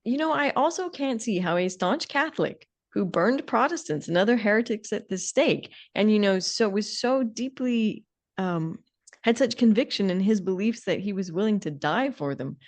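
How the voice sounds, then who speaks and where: A woman's voice: medium pitch, animated, normal speed. A woman co-hosting a podcast in casual discussion, musing wryly and often breaking off to rephrase her thoughts. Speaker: podcast co-host.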